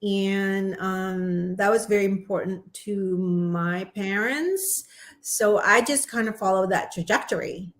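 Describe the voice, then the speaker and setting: A woman in her forties from Indonesia, a podcast guest chatting casually and laughing often. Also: mid-range voice. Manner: animated, laughs often, quick asides. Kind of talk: casual interview chat.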